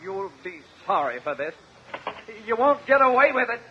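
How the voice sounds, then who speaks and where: An actor playing a servile but resentful paroled manservant with a shifty streak in a 1950 radio drama. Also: male voice, high voice, sing-song, normal speed, slight background noise. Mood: defensive, resentful. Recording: muffled vintage 1950 radio recording.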